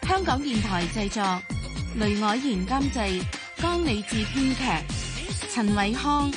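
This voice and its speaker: A woman announcer reading a drama's closing credits in a measured, formal Hong Kong Cantonese delivery. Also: fairly high pitch, expressive. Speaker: radio announcer. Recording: broadcast voice over music.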